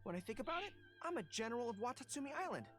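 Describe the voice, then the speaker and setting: A woman's voice: on the high side, big pitch swings, very fast. A woman voicing an earnest, easily flustered young general in a video game, with dramatic declarations. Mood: earnest, resolute. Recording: game audio with background music.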